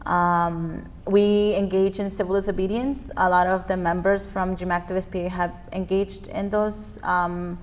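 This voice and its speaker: A woman's voice: on the low side, flat delivery, measured pace, hardly any pauses. Earnest young woman activist from Peru. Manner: earnest, many fillers. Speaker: youth immigrant activist guest. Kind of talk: interview answers.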